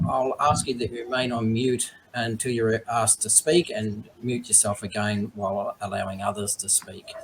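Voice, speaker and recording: A man serving as mayor, hosting a formal online citizenship ceremony in a measured, polite way with frequent ums, speaking over a video call. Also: mid-range voice, fairly bright tone, a little hiss or hum, poor audio.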